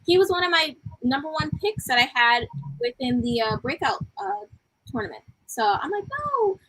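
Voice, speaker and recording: A woman with a high, tiny voice that still comes across bright and forceful. She chats casually as a co-host, giving her commentary in everyday language. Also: big pitch swings, moderate pace, slightly rough, low-quality recording. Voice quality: small, tiny voice. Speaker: co-host of a wrestling review show.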